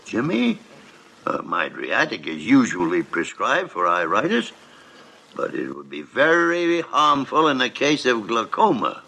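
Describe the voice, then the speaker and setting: A man's voice: mid-range voice, huge pitch swings, a little slow, gravelly, sharp tone, heavily acted, poor audio. An older American actor playing a crusty, irascible old male doctor in 1950s radio drama style, blustery and stammering as he repeats himself. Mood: suspicious, testy.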